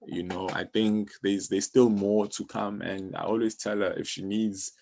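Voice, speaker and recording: Male podcast guest, low gravelly register, conversational and encouraging, explains things with plenty of "you know". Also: steady tone, moderate pace, a little mellow. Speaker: music publisher, podcast guest. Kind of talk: casual interview talk.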